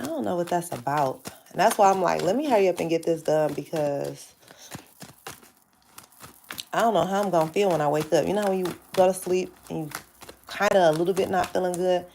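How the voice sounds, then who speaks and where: A woman's voice: lowish voice, creaky, warm tone, a little hiss or hum. A woman hosting a casual live tarot reading, chatting straight to her viewers, her voice stuffy and nasal from sinus trouble. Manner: chatty, addresses viewers directly. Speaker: tarot reader hosting a livestream.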